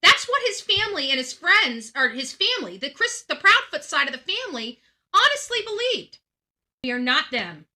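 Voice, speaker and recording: A woman's voice: very high voice, big pitch swings, normal speed, sharp tone. A woman hosting a true crime channel, speaking in an earnest monologue to her audience and repeating phrases emphatically for stress.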